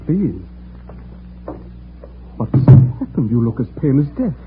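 A man playing a concerned, decisive gentleman in an old radio drama, with an earnest and urgent manner. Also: mid-range voice, sing-song, a little slow, loud music behind the voice. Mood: alarmed concern. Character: concerned, decisive gentleman, protective male confidant. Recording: tinny old radio recording, faint noise.

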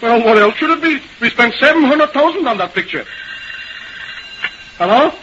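High-strung blustering studio boss, old radio. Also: male voice, expressive, normal speed. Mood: busy, flustered. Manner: indignant, emphatic, self-questioning. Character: blustering, exasperated male Hollywood studio president. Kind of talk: 1930s-40s radio drama acting. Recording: old noisy, muffled radio recording.

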